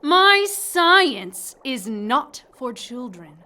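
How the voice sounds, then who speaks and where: Woman acting a bright, forceful, imperious older scientist. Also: high voice, big pitch swings, extremely slow, slight background noise, exaggerated voice. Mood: irritated, grand. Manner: imperious, irritable, portentous. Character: stern, cryptic older female mad scientist. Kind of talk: audio drama acting.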